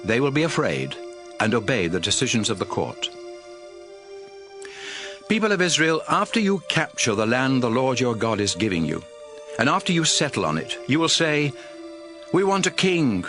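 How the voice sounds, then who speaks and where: Solemn lawgiver prophet, audio Bible drama. Emphatic and commanding, lively intonation, music underneath. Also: male voice, mid-range voice. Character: solemn male prophet lawgiver addressing his people. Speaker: scripture narrator.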